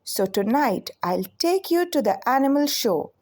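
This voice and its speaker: A kindly storybook caretaker voiced by a woman, a man speaking fondly to his pet. The voice sits fairly high for him, with lively intonation and gentle, instructive phrasing. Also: normal speed. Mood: fond, celebratory. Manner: affectionate, instructive. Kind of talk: children's storybook character reading.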